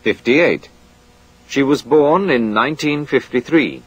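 A man reading a scripted language course drill in a clear, measured way. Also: medium pitch, lively intonation, dull audio, a little hiss or hum.

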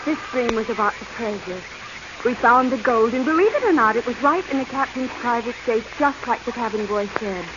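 An actress playing a dreamy, treasure-hungry adventuress in a 1940s radio drama. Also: female voice, fairly high pitch, expressive, fairly quick, close to an everyday voice. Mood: dreamy, eager. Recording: muffled, noisy old radio recording.

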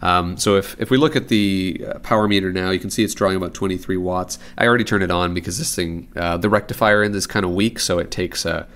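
A man's voice: deep voice, steady tone, fast, hardly any pauses, a bit bright. A man giving a casual, unscripted repair demonstration, rambling informally as he thinks aloud. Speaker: radio repair hobbyist and presenter. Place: indoors at a desk in an apartment living room.

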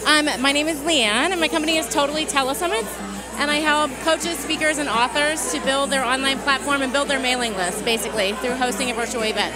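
Chatty high-voiced woman guest. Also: brisk, loud music behind the voice, over a noisy crowd, poor audio. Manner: chatty, candid, breezy. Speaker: guest, business owner. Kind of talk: casual interview answers. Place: busy indoor meetup venue with music and crowd. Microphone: handheld mic.

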